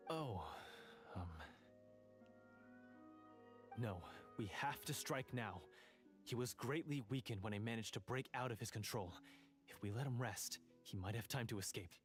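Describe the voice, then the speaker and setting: A man's voice: medium pitch, normal speed, lightly acted. An actor playing an earnest, anxious male guide in an anime game, speaking solemnly. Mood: urgent. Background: music.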